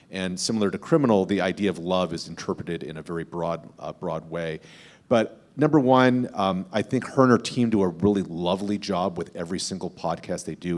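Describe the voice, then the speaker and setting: Low-voiced man talking on a panel. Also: a little mellow. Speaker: podcast host on a panel. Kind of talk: conversational panel talk.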